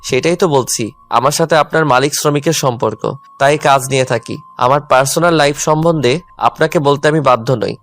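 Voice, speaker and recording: A man's voice: medium pitch, fairly quick, fairly bright tone, lightly acted. A man playing a hurt, angry, stubborn young husband in a dramatised audio love story. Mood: curt, defiant. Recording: music under the voice.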